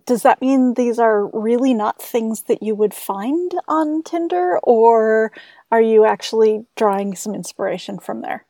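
A woman's voice: fairly high pitch, animated, unhurried, mellow, no echo. A woman hosting a radio interview show, asking questions and addressing the audience. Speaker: radio host.

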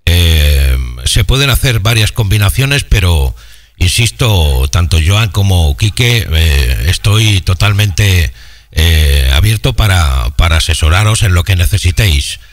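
A man's voice: low voice, animated, measured pace. A man in casual technical chat as an amateur radio operator, hesitant and explanatory, on a processed studio-style microphone. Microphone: microphone with preamp, equalizer and bass exciter.

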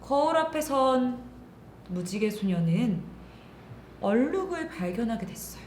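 A woman storyteller narrating for children in an expressive, sing-song way with drawn-out words. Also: a little high, slight background noise. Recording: echoey room with music behind.

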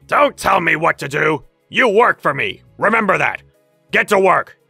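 An actor playing a bullying middle-aged mine foreman, barking in a gruff, domineering way. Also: fairly high pitch, animated, normal speed, pushed and bright, dry recording, soft background music, full character voice. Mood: angry barking. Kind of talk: anime dub acting.

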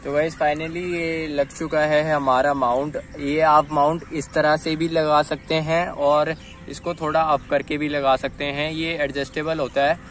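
A young man talks straight to his viewers in a chatty, easygoing way, calling them guys as he shows off a gadget. His voice sits at an ordinary pitch and stays fairly level with little rise and fall. He is recorded outdoors on a phone, with faint noise from the open air around him. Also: little pitch movement, unhurried, fairly bright tone. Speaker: vlogger. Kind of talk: casual unboxing and test vlog. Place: outdoors in an open dirt field, later riding on a street. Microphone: phone camera, later a chest-mounted phone.

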